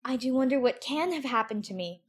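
A woman's voice: sits high, expressive, brisk, breathy edge. A woman voicing a curious, chatty young girl, musing primly to herself in an audiobook. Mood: wistful, musing. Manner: musing, prim, talking to herself. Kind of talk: audiobook character acting.

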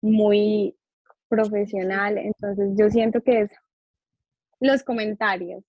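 A woman's voice: mid-range voice, takes it slowly, no room sound. Woman speaking over a tinny line. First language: Spanish. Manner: animated, acts out quoted dialogue. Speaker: psychologist and behavioral therapist guest. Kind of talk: casual interview storytelling.

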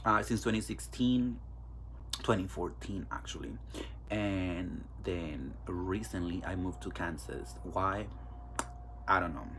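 A man's voice: sits low, slow, a bit bright, a little hiss or hum. A man in his twenties with a Mexican accent, talking candidly and bluntly with lots of fillers and swearing as the host of a solo podcast. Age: 20s. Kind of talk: casual solo podcast monologue.

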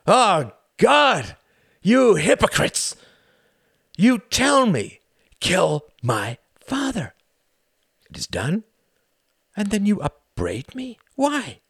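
Guy doing a nasty older count, gravelly, swings loud to soft, slow and mocking. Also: sits high, dry recording, studio quality, voice pushed up, full character voice. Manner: sneering, shouting, cackling. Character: cruel mocking male Nazi count, older.

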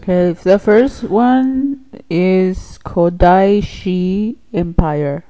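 A woman's voice: lowish voice, very slow, mellow, clear, resonant tone, no echo. A woman in her late twenties from California, co-hosting a podcast in a casual, hesitant chat. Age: 20s. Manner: hesitant, brief replies. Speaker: podcast co-host.

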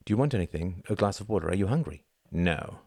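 A patient grown man murmurs comfort to his brother, as a male narrator plays him, his voice low and deep. He speaks softly and evenly, recorded close and dry. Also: fast, gravelly, breathy edge, no room sound, heavily acted. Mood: soothing. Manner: soft, murmuring, calm.

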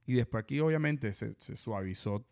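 A man's voice: medium pitch, animated, normal speed, vocal fry, darkish tone. Slangy man hosting a casual podcast. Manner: slangy, says brother a lot. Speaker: podcast host.

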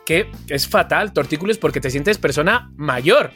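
A man with a Spanish accent from Spain and a highish voice. He talks as a podcast co-host in casual, comic chat. His storytelling is animated and pokes fun at himself. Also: moderate pace, soft background music. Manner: animated, self-mocking storytelling.

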